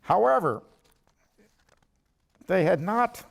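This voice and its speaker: An older man, a professor, lecturing from a prepared text in a deliberate, measured way with emphatic pauses, quotations and asides. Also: high voice, expressive, creaky. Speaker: ethics professor giving a lecture.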